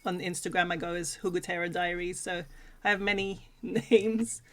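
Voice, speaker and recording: A woman's voice: lowish voice, fairly even intonation, moderate pace. A woman from Sweden co-hosting a livestream in a casual, chatty, giggly manner. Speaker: co-host of a livestream talk show.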